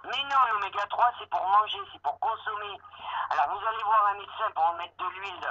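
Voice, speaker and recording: A woman's voice: mid-range voice, sing-song, a little fast, creaky, pushed and bright. A woman working as a supermarket employee, insistent and exasperated as she deals with a customer by phone. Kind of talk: customer service phone call. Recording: dull phone line with noise.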